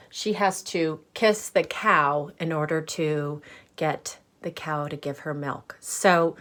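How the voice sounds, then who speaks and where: Woman, teacher read-aloud, lower-pitched voice, unhurried pace, expressive storytelling, explanatory asides for young listeners. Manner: explains words and checks in with simple questions. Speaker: teacher reading a picture book aloud to children.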